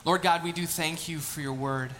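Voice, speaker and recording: A man's voice: fairly high pitch, fairly even intonation, normal speed, a bit bright, slight background noise. A man in his late thirties, a pastor, reading scripture, praying and then telling casual, self-deprecating stories in a sermon. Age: 30s. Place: church sanctuary.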